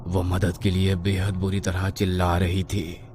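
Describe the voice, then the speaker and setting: A man's voice: sits low, monotone, a little slow, background noise. A man reading a scripted horror story as a dramatic narrator. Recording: music behind the voice.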